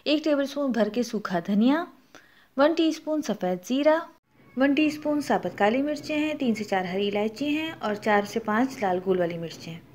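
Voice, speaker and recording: A woman with a Pakistani Urdu accent hosting a cooking channel, narrating a recipe step by step and adding practical tips. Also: a little high. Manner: explanatory, instructive, gives practical tips.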